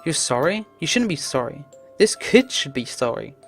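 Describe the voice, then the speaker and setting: A woman's voice: deep voice, sing-song, normal speed. A woman voicing a hot-headed, brusque young story protagonist who scolds and orders others about. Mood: scolding. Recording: music behind the voice.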